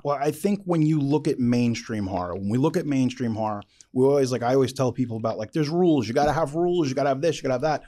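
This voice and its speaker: A man's voice: medium pitch, fast, few pauses, warm tone. A man hosting a casual interview, talking enthusiastically and repeating phrases for emphasis. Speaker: podcast host.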